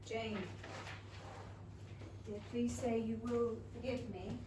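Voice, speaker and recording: A woman playing a witty, well-meaning young gentlewoman with a wry manner in a staged comic period play. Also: fairly high pitch, little pitch movement, slow pace. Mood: apologetic, wry. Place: small theatre stage, before an audience. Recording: very echoey theatre, distant mic, noisy.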